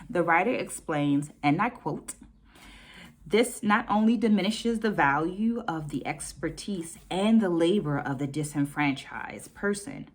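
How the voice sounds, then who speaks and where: Woman, American accent, middle-aged, low voice, measured educator's delivery, reflective book-review vlog. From United States. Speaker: dance educator hosting a book discussion.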